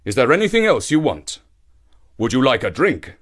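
A man voicing a friendly, helpful ape in a folktale, with a hearty, loud delivery. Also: mid-range voice, huge pitch swings, normal speed, husky, heavily acted.

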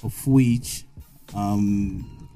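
A man's voice: lowish voice, drawn-out words, mellow, faint music behind. A man with a Zimbabwean accent joining a podcast discussion, speaking hesitantly with many fillers. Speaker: guest co-anchor. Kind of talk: casual discussion.

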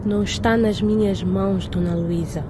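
A woman's voice: medium pitch, steady tone, moderate pace, natural voice. A woman playing a busy, firm but sympathetic headmistress in a radio drama, speaking politely and formally. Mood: regretful, firm. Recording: clear ambient vehicle noise behind.

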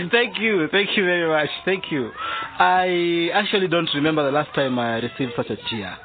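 A man playing a genial but firm police sergeant and father, teaching boys in a radio drama, chuckling and hesitating. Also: high-pitched. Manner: chuckling, didactic, hesitant fillers. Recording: noisy, tinny phone-like radio drama recording.

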